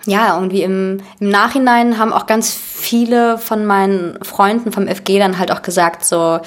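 A woman's voice: medium pitch, steady tone, moderate pace. A woman in her twenties from Germany, a guest chatting casually with many fillers and restarts. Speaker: actress and guest. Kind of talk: casual chat.

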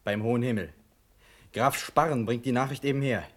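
A man's voice: mid-range voice, slight background noise, lightly acted. A man playing an urgent, deferential officer. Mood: agitated, awed. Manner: urgent, emphatic, halting declamation. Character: deferential, excited officer bearing astonishing news. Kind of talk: classical German radio drama acting.

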